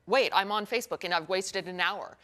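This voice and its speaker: A woman's voice: mid-range voice, fairly quick, bright, forceful tone, clear, resonant tone. An American woman anchoring a TV newscast, reading stories and chatting informally with a co-anchor. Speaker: TV news anchor.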